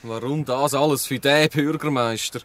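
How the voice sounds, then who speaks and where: A man's voice: medium pitch, fairly even intonation, moderate pace, a bit bright, no echo, close to an everyday voice. An actor with a Swiss German accent playing an eager boy from a children's gang in a dialect audio drama. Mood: curious, eager.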